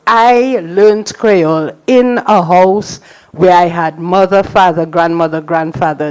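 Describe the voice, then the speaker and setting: An older woman giving an unscripted response at a public lecture, measured and encouraging. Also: fairly high pitch, darkish tone, low-quality recording.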